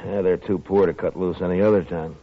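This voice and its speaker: Deep-voiced, stern frontier marshal. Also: male voice, steady tone, a little fast. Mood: weary calm. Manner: blunt, firm, authoritative. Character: stern, world-weary frontier marshal, adult man. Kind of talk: 1950s radio western acting. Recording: dull vintage 1950s radio recording.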